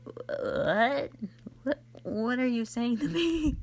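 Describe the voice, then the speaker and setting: A woman's voice: fairly high pitch, expressive, very slow. A woman in a casual question-and-answer style, reading out fan questions and replying in a playful, rambling, self-deprecating way. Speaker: ASMR and audio roleplay voice creator. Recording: faint music behind the voice. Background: faint background music.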